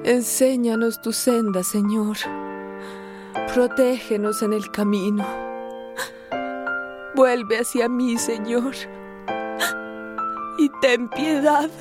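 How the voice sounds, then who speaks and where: A devout, loving mother with a high voice and a slow, emotional delivery. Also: steady tone. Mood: prayerful. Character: tender, devout mother celebrating and praying alone for her faraway son. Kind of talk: radio drama acting. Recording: music behind the voice.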